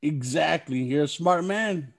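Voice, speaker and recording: A man's voice: fairly high pitch, a little slow, creaky, breathy edge. A man hosting a podcast, chatting casually in an agreeable, slangy way. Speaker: podcast host.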